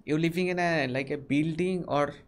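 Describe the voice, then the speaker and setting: A man's voice: fairly high pitch, fairly even intonation, normal speed. A young man from India with an Indian English accent, chatting casually with strangers on a webcam and asking many short questions. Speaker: video chat host. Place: small bedroom.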